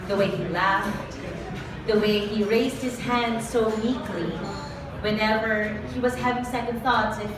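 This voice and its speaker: A woman performing spoken word poetry live, with an intimate, dramatic delivery built on repeated refrains. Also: on the high side. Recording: roomy echo, far from mic, ambient noise.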